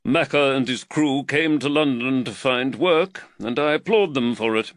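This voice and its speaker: A man reading an audiobook voices an earnest, fretful London magistrate, speaking higher, louder and more emphatically than in his narration. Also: measured pace, no room sound, natural voice. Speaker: magistrate and employer.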